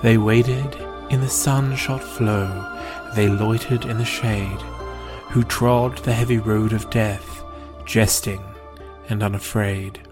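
A man reads in a solemn, measured way, with a low voice and very slow delivery. His words are deliberate, like a poetry recitation. Calm new-age music plays clearly behind him. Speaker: poetry podcast reader. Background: prominent calm new-age music.

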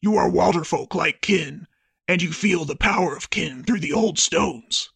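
A solemn ancient toad elder, played by a man, hoarse and breathy, speaking in slow, weighty pronouncements. The voice sits high and sounds bright and pinched, with a gravelly edge. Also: no room sound, full character voice. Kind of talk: comedic podcast story reading.

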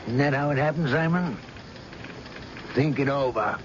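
An actor playing a gruff, sardonic police chief who presses a suspect in a radio drama. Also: male voice, medium pitch, normal speed, natural voice. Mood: pressing, accusing. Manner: insistent, sardonic, folksy interrogator. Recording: dull, noisy old radio recording.

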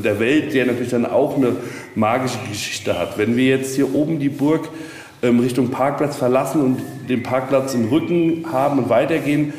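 A man's voice: medium pitch, a little mellow. A middle-aged man explaining casually as a castle guide in an interview, in a rambling, circling way. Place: reverberant space at a castle. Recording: echoey hall, faint ambient noise.

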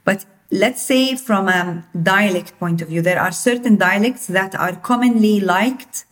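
A woman from Jordan, a company founder, talks as a guest in an interview. She explains things in detail and often says "like" as she goes. Her voice sits at a middle pitch. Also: unhurried. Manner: explanatory, uses filler "like" often. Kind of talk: interview conversation.

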